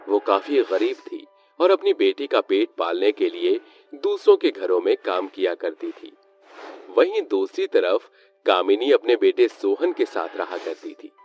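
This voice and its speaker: A man's voice: lowish voice, darkish tone. A man narrating a scripted story as a storyteller. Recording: muffled lo-fi sound with background music.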